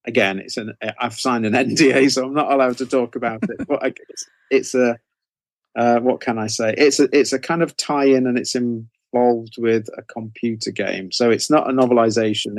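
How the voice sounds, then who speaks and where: A man's voice: mid-range voice, steady tone, moderate pace, no echo. A middle-aged man chatting casually as an interview guest, informal and often hesitantly rephrasing himself. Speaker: guest author interviewed about writing career.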